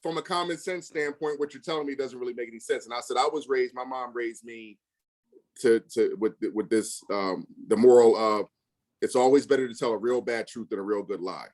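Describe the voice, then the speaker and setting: A man's voice: mid-range voice, brisk, a little mellow. A man talking casually and bluntly, full of slang and emphasis, acting out past conversations in quotes as he tells stories. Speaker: real estate investor.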